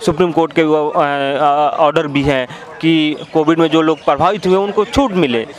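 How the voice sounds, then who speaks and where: A man's voice: a little high, fairly even intonation, moderate pace. A male reporter in the street, asking protesters questions and summarizing their demands for viewers. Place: outdoor protest in the city. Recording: crowd noise around.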